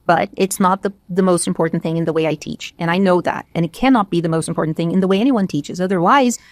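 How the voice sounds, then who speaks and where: A woman's voice: on the low side, fast, no echo. A woman from Brazil, a native Portuguese speaker with a slight Brazilian accent, talking casually and emphatically as an English teacher in a discussion.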